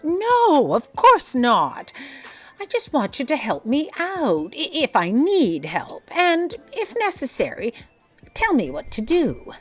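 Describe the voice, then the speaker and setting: A woman's voice: high-pitched, huge pitch swings, takes it slowly, soft background music, full character voice. A woman playing a haughty, forgetful editor-in-chief in a comedic audio drama, speaking formally and imperiously. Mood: guarded. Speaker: voice actor.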